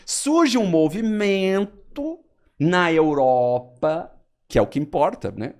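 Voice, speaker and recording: A Brazilian man on a podcast, explaining history in a lively storytelling way and acting out quotes. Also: slow pace. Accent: Brazilian Portuguese. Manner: lively storyteller, animated swings in pitch, acts out quotes.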